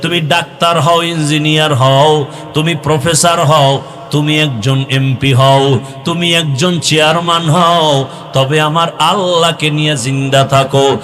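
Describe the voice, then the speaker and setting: A man's voice: on the high side, fairly even intonation, measured pace, a bit bright. A man with a Bangladeshi accent preaching an impassioned Islamic sermon to young people, chanting and drawing out his exhortations. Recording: long hall reverb with music behind.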